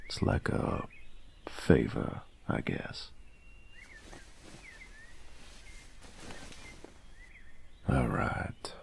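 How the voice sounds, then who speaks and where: A man playing a cocky young bully who is secretly soft on his boyfriend in an intimate audio roleplay, gravelly and husky, grudging and teasing in a cool-guy way. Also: a bass voice, slow pace, a little hiss or hum, heavily acted. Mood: grudging, softening.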